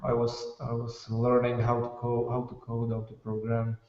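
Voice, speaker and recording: A man from the Czech Republic with a Czech accent, a software developer speaking English as a guest on a video call, halting and restarting often. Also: mid-range voice, completely flat delivery. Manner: halting, many restarts, soft laughs. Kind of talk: casual interview on a video call. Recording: distant, roomy, dull web-call audio.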